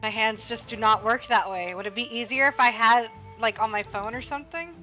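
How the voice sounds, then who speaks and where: A woman's voice: a little high, a little fast, soft background music, poor audio. A young woman chatting casually and expressively to herself as she streams a video game. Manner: expressive, self-talking, playful. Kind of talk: casual live gameplay commentary.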